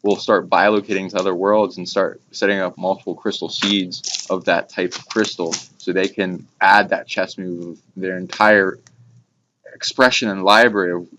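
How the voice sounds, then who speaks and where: A man talking casually and haltingly, rambling through abstract esoteric ideas in long trailing phrases. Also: low voice, fairly even intonation, bright, forceful tone. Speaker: speaker on esoteric topics. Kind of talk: casual rambling spiritual discussion. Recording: dull lo-fi recording with clear background noise.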